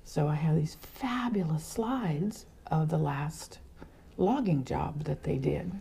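Middle-aged woman, gravelly, mellow, lively storyteller. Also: sits low, slow pace. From born in Argentina, raised in Los Angeles. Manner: animated, laughing as she recalls. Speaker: interview guest, photographer. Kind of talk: casual storytelling.

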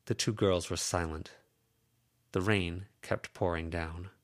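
A man reading a web serial chapter aloud as an audiobook narrator, in measured, even storytelling. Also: deep voice. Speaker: audiobook reader. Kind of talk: scripted narration.

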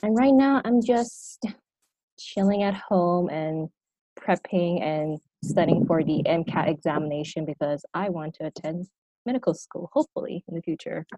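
A woman in her twenties from Thailand speaks as a podcast guest in a very low, warm voice. She talks casually and hesitantly, thinking aloud. The sound is dry and close. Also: moderate pace, no echo, low-quality recording. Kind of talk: casual conversation.

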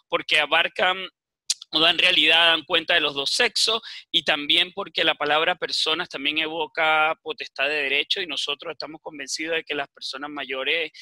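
A man with a Venezuelan accent giving earnest interview answers as a public official, halting at times and repeating phrases for emphasis. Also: fairly high pitch, bright, forceful tone, no room sound. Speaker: municipal official for older people's services.

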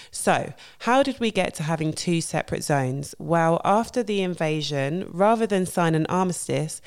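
Young woman walking thru history on her show, low smooth voice, chatty w/ lots of ums. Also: a little mellow. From not France. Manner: chatty, with fillers and self-corrections. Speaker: history educator and show host. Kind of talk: casual spoken history explainer.

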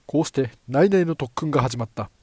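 A man's voice: mid-range voice, lively intonation, fast talker, husky, no echo. A man narrating a radio drama in a scripted storytelling style.

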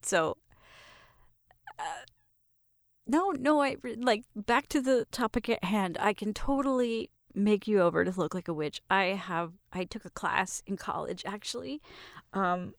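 A woman acting as a shy but eager young female roommate with a crush, speaking close and dry in an intimate roleplay. She stammers, restarts her sentences and gets giggly with nerves, with some gravel in an average-pitched voice. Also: expressive, a little slow, no echo. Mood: bashful, nervous. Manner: nervous, stammering, giggly, self-interrupting. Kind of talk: intimate roleplay audio addressed to the listener.

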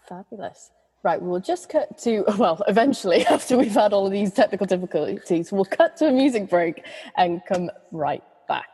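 A woman's voice: medium pitch, lively intonation, moderate pace, mellow, husky, big-room sound. A woman hosting an interview, easygoing and laughing through her links to the next segment. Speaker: host.

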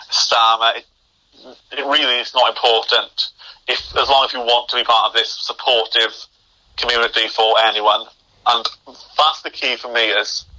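Young man, stammering speech, very bright sharp timbre, average pitch, remote call audio. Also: poor audio. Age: young adult. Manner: stammers, with repeated starts and blocked words. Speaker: podcast guest. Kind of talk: interview conversation.

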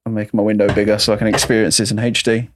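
A man's voice: fairly low pitch, flat delivery, racing, background noise. A man joking around as co-host of a casual comedy podcast, full of wry asides. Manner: playful, wry jokey asides. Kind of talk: casual comedic banter over a call.

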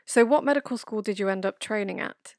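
A woman's voice: medium pitch, a little fast, no echo, studio quality. A young woman, a medical student, hosting an interview and asking earnest, polite questions. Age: young adult. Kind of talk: podcast interview.

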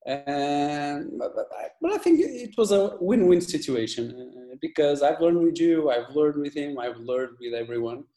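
A man from Portugal with a Portuguese accent, a guest video editor who talks in a halting way, full of restarts and fillers. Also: a little high, sing-song, dark tone, reverberant. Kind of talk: casual interview chat.

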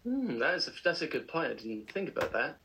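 A man co-hosting a casual podcast, chatty and animated, laughing often as he tells stories. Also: on the high side, fairly quick, a little hiss or hum. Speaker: podcast co-host. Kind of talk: casual chat.

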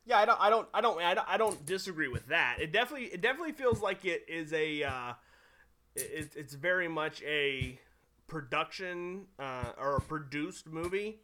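A man's voice: on the high side, lively intonation, moderate pace, pushed and bright. A man hosting a casual film chat, steering the talk with many restarts. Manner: hesitant, many restarts and fillers while guiding the talk. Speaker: podcast host steering the discussion.

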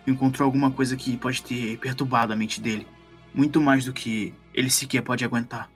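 A man plays a grim occult detective in a tabletop role-play. He has a Brazilian accent and a mid-pitched voice, terse and ominous, with faint music behind him. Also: fairly even intonation, a little fast, close to an everyday voice. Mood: brooding. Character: world-weary, grim male occult detective.

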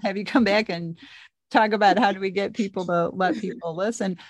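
A woman with a soft voice co-hosting a discussion, pausing often as she talks. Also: mid-range voice, steady tone, no echo. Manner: pauses while speaking. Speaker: co-host. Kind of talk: conversational discussion.

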